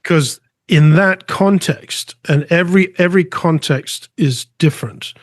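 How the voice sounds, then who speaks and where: A man's voice: fairly high pitch, dry recording, studio quality. A middle-aged man from England who grew up in Spain, a guest answering interview questions earnestly, often restarting his sentences. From England, raised in Spain. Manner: earnest, halting, with frequent restarts. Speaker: artist and guest.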